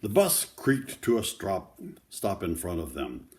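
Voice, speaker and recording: A man's voice: low-pitched, sing-song, a little slow, darkish tone. An older man reads a children's book aloud, breaking off for folksy, casual asides that explain the pictures to young listeners.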